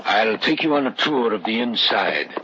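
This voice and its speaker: An actor playing a grave, proud European guide in an old radio horror drama, speaking formally and solemnly. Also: male voice, on the low side, very animated, moderate pace. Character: grave, proud European local man guiding castle visitors. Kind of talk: 1940s-50s American radio horror acting. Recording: dull hissy old radio recording.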